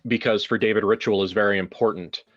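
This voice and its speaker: A man's voice: lowish voice, fairly even intonation, quick pace, highs cut off. A man improvising narration for a tabletop roleplaying game in a casual way, thinking aloud as he goes. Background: music.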